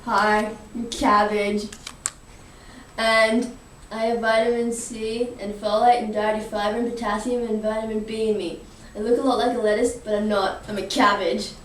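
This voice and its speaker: A woman's voice: on the high side, level tone, normal speed, a little hiss or hum, voice pushed down, natural voice. Laid-back veggie puppet amateur girl performer, plain casual delivery, distant and roomy. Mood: matter-of-fact.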